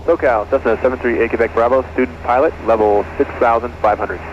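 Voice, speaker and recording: A man's voice: mid-range voice, fairly even intonation, fast. A man, a student pilot, making clipped calls and readbacks over aircraft radio. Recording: muffled cockpit radio audio, loud aircraft noise.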